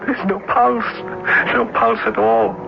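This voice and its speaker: An actor playing an ardent, lovestruck young student in florid, earnest old radio drama style. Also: male voice, very high voice, steady tone, creaky, over loud music, full character voice. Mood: horrified. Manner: earnest, florid, halting declarations. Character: ardent, lovestruck young male student, sentimental and high-strung. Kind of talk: old radio drama acting with first-person narration. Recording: dull, noisy old radio broadcast.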